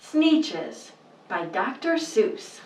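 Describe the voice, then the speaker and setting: A woman's voice: fairly high pitch, sing-song, very slow, a little hiss or hum. A woman reading a bedtime story to young children with exaggerated, sing-song emphasis. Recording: roomy, distant microphone.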